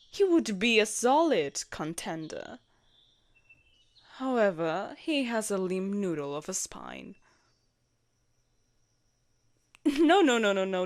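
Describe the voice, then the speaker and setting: A woman playing a jealous, protective royal knight in an intimate audio roleplay, expressive and blunt in manner. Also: medium pitch. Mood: measured, gently dismissive. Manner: expressive, blunt, sweary, teasing. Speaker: voice actor in a romantic audio roleplay.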